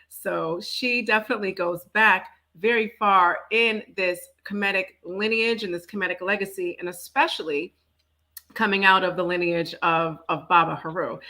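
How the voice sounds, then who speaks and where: A woman with a bright, forward voice at a middle pitch hosts a casual talk. She is effusive and chatty, telling things playfully as she welcomes people. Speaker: talk show host. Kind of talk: casual welcoming conversation and introduction.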